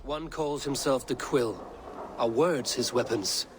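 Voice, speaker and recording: A fearless Viking raider, played by a male actor, blunt and proud, speaks at a middling male pitch. The delivery is stern game-cutscene drama, with faint noise behind the voice. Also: unhurried, lightly acted. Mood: probing.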